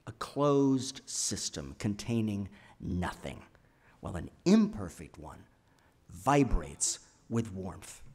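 A man in his sixties reading a sermon from a script at a church lectern, with deliberate, emphatic phrasing and pauses. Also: fairly low pitch, a little mellow. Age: 60s. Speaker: speaker presenting a sermon at a church. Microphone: gooseneck lectern microphone.

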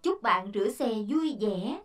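A performer voicing a formal car-wash machine in a cartoon dub, making flat, repetitive, polite announcements. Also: mid-range voice, unhurried. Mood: polite, mechanical. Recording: distant, under loud music.

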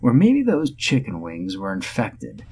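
A man narrating a first-person horror story in a steady storytelling voice with dry humor. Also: on the low side, measured pace. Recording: music bed under the voice.

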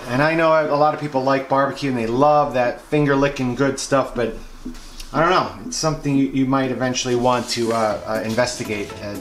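A man talks through grocery deals in a frank, offhand way, full of ums and restarts. His voice sits at a middle pitch. Clear background music plays under him throughout. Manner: frank, advice-giving, many ums and restarts. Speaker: vlog host reviewing grocery flyers. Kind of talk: casual off-the-cuff commentary. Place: indoors at a table, hands over flyers.

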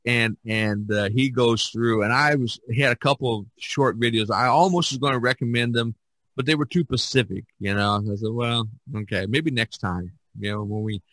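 A man hosting a casual podcast chat, speaking haltingly and often repeating his words. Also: medium pitch, a bit bright, no echo, rough recording. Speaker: podcast host.

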